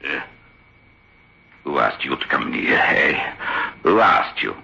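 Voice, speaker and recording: Volatile, forceful Italian ventriloquist. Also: male voice, a bass voice, sing-song, slow, creaky, heavily acted. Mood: furious. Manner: melodramatic, broken English. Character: volatile, tormented Italian ventriloquist man, paranoid and bitter. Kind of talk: old-time radio drama acting. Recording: dull, lo-fi old radio recording.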